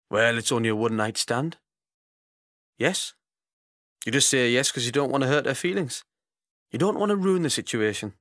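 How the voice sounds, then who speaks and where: A man's voice: medium pitch, fairly bright tone, dry recording. Man playing a chatty self-excusing womaniser, dry close voice. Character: self-justifying womaniser, a man too nice to be honest.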